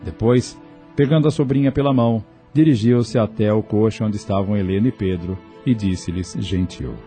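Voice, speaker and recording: A man narrating a scripted radio drama in a measured, solemn storytelling style. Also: lowish voice, dark tone, soft background music.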